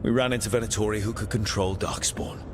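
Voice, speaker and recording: A man's voice: lowish voice, steady tone, quick pace, lightly acted. Man playing a blunt hero in reverberant, noisy space. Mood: serious, wary. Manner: plain-spoken, dry, challenging. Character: earnest, defiant young male hero, skeptical and blunt. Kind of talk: dramatic fantasy video game dialogue.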